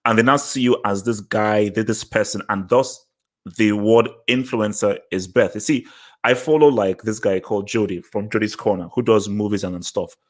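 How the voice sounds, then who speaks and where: Male podcaster, Nigerian accent, moderately low voice, conversational discussion style with emphatic repetition. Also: normal speed. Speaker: podcast host. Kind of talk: casual discussion podcast chat.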